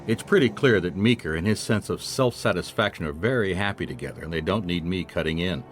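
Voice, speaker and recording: An actor playing a wry, dogged private detective in a radio drama, narrating and speaking in a dry, understated way. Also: male voice, low voice, animated, fairly quick. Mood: wry narration.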